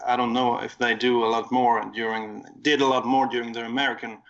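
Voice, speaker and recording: A man's voice: mid-range voice, little pitch movement, fairly quick, a crowd in the background. A man with a Swedish accent, casually recounting a concert on a podcast in a rambling, self-correcting way. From Sweden. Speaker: podcast co-host. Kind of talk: casual chat. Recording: dull lo-fi audio.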